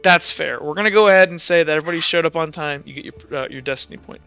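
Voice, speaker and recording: A man's voice: a little high, rapid-fire, gravelly, sharp tone, clean tone, no room sound. A man running a tabletop roleplaying session as game master, chatting casually with the players. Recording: muffled audio with background music.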